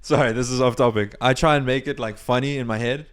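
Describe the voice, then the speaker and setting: A man's voice: mid-range voice, fast, smooth. A man co-hosting a podcast in casual, self-deprecating banter. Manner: lively, self-deprecating, laughs often. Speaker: podcast co-host. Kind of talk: casual banter among friends.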